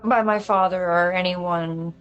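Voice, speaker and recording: A woman's voice: on the low side, fairly even intonation, measured pace, dull audio, soft background music, close to an everyday voice. A woman playing a tough, blunt, foul-mouthed cop wounded by her past, in a film script read-through. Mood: pained, earnest. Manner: earnest, blunt, swearing.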